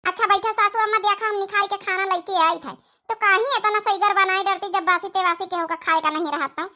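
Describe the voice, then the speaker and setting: A woman's voice: very high-pitched, fairly even intonation, fairly quick, sharp tone. A woman voicing a chatty, hospitable elder daughter-in-law with an Awadhi accent in a cartoon comedy. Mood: concerned.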